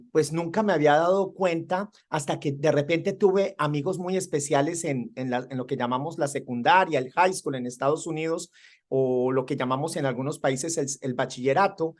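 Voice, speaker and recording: A man's voice: fairly high pitch, a little mellow. A man from Colombia, hosting an interview, asking long questions with frequent restarts and emphatic rises. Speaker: interview host.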